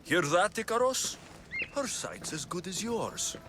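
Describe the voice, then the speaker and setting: Wry mercenary with swooping intonation. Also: male voice, high-pitched, very animated, unhurried, exaggerated voice. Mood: concerned, teasing. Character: wry, guarded male Greek mercenary, sardonic but protective. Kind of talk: video game cutscene acting. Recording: music behind the dialogue.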